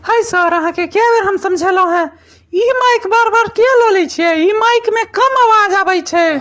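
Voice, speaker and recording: A squeaky, extremely high-voiced small-time reporter played by a man, forever complaining in a cartoon. The accent is Maithili, and the track has faint noise and clicks. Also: moderate pace, pushed and bright. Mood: exasperated. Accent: Maithili, from Bihar.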